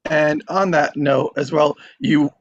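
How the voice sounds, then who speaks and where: A man's voice: fairly high pitch, fairly even intonation, slow pace, muffled recording. A man hosting an online script reading group, chatting casually.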